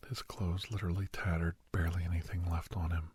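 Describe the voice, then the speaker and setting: A man playing a grave doctor in an ASMR roleplay, telling a haunting memory in a hushed, halting, breathy voice that often drops to a whisper. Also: low voice, little pitch movement, dry recording. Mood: somber. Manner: halting, hushed, dramatic delivery. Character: grave male doctor recounting a frightening memory to youngster.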